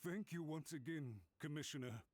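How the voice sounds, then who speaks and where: An actor playing a meek, chastened official in a video game, hesitant and deferential. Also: male voice, on the high side, moderate pace, darkish tone, faint music behind, natural voice. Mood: cowed, apologetic. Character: meek, chastened male official, servile and anxious. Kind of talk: scripted video game voice acting.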